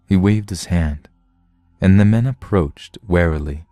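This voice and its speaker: A man's voice: sits low, unhurried, mellow, no room sound, studio quality. A man narrating a science fiction story from a script. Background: music.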